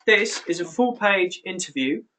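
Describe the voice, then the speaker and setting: A man hosting a casual conference call, talking in a halting way and repeating phrases as he goes. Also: sits high, lively intonation, background noise. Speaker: call host.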